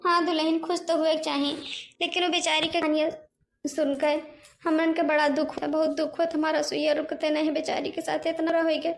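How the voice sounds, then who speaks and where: A high-voiced, chatty village woman voiced by a woman with an Awadhi accent. She is an indignant, sympathetic cartoon gossip who talks emphatically while keeping within a narrow pitch range. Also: level tone, a little fast, creaky, reverberant, a little hiss or hum. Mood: relieved, sympathetic. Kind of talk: amateur Hindi cartoon dialogue.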